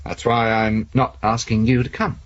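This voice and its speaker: An actor playing an assured, determined investigator in a 1970s radio drama, explaining things insistently. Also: male voice, mid-range voice, flat delivery, moderate pace, natural voice. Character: assured, determined male investigator plotting a risky search. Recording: muffled, noisy vintage radio broadcast.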